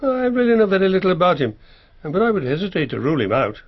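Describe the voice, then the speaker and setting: An elderly English family lawyer in a radio drama, husky and expressive. He is courteous and circumspect, picking his words with slight hesitation, on a slightly muffled recording. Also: high-pitched, quick pace, exaggerated voice. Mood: candid. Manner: polite, circumspect, mildly hesitant. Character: discreet, courteous elderly male family solicitor.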